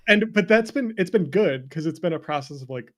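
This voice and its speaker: A man's voice: fairly high pitch, lively intonation, fairly quick, fairly bright tone. A man chatting casually as an interview guest, joking and self-deprecating, often breaking into laughter. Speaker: interview guest, game creator. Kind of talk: casual candid chat.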